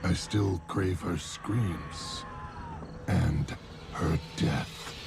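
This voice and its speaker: An actor playing an obsessive, murderous killer clown in a video game cutscene, brooding and menacing with a gravelly voice. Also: male voice, low voice, very noisy, full character voice. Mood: brooding menace. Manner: slow, menacing, brooding monologue. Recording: loud music under the voice. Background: loud cinematic game music.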